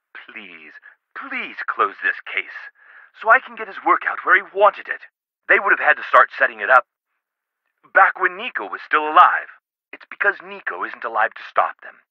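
A man's voice: medium pitch, dry recording, highs cut off. An actor in a video game playing an earnest, guarded businessman who owns a science lab, speaking conversationally and with emphasis. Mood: grieving, pleading.

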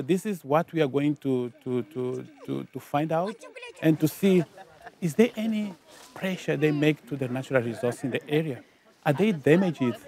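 A man doing community outreach, speaking haltingly in an interview with many repeated words. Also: a little high, darkish tone.

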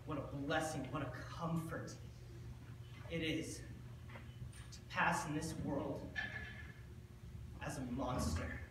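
A young man playing a bitter, drunken, brooding self-styled monster in a theatrical monologue, speaking deliberately with dramatic pauses. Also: on the high side, steady tone. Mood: brooding, menacing. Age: teen or young adult. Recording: distant, noisy, very echoey school stage.

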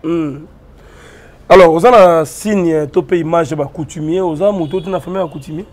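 Congolese TV interviewer, slightly high voice. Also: male voice, a little fast, darkish tone. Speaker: TV journalist, interviewer.

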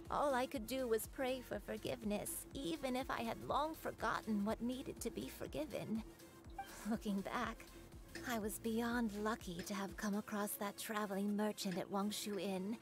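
An actress playing a gentle, regretful grandmother in a video game, speaking in a measured, wistful, confessional way. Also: mid-range voice, lightly acted. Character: regretful elderly grandmother, once a crane, gentle and sorrowful. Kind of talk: dramatic scripted game dialogue.

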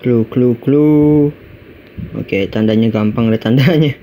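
A man's voice: medium pitch, unhurried, muffled recording, a little hiss or hum. A man with an Indonesian accent giving playful, exclamatory, casual commentary as he plays a game. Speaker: gaming video creator.

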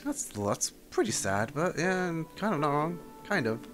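A man's voice: on the high side, big pitch swings, a little slow. A man giving casual live commentary on a game, muttering offhand asides and skimming text aloud. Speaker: streamer reacting to a game story. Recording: faint game music behind. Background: video game music.

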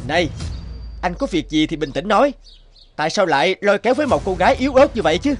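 A man's voice: high voice, expressive, quick pace, faint music behind. A man playing an upright, kind young magistrate in a folk-tale cartoon, formal and righteous. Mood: kind, courteous. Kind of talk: cartoon voice acting.

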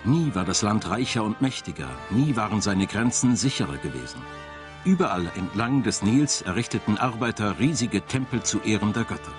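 A man narrating a scripted documentary in a measured, dramatic storytelling manner. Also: fairly low pitch, expressive. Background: music.

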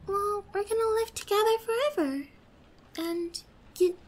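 A woman playing a young, obsessed, possessive girlfriend in an ASMR roleplay, sweet yet menacing, with a soft girlish voice and a coy, drawn-out delivery. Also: very high voice. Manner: slow, coy, drawn-out, slipping into whispers.

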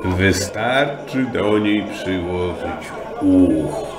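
A man's voice: sits low, animated, extremely slow, a crowd in the background, pitched below the performer's own voice, heavily acted. A man playing a kindly, patient old grandfather in a children's radio play. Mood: gently explaining. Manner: gentle, patient. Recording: roomy, music behind.

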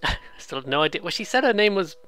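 A let's player giving casual, excitable, rambling game commentary, often interrupting themselves and reading dialogue aloud. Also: mid-range voice, quick pace. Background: game music.

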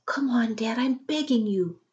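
A woman's voice: a little high, animated, unhurried, a little mellow, muffled recording, pitched above the performer's own voice. A woman voicing an earnest, insistent young girl pleading with her dad in a storybook. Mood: eager, pleading.

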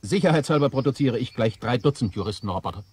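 A man voicing an earnest quick-talking robot. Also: medium pitch, animated, background noise, natural voice. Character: eager helpful male robot inventor. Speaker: robot servant. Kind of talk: 1970s radio play acting.

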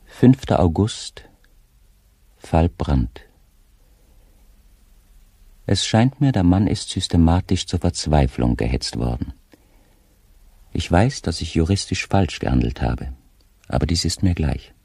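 An actor playing a tormented, guilt-ridden official slipping into madness, reading his diary in a brooding, deliberate way in an audio drama. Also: deep voice, expressive, darkish tone, slightly rough, dry recording, exaggerated voice. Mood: brooding compassion.

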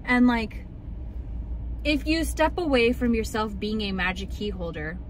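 A woman's voice: fairly high pitch, expressive, a little slow, slight background noise, rough recording. A young woman vlogger chatting and ranting casually in a car, full of likes and ums. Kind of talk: casual chat.

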